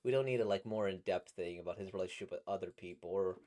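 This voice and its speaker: A man with a slightly squeaky, trebly voice, a voice actor casually reacting to comics in a rambling, playful way. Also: quick pace, mellow. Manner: rambling, playful, laughs at his own jokes.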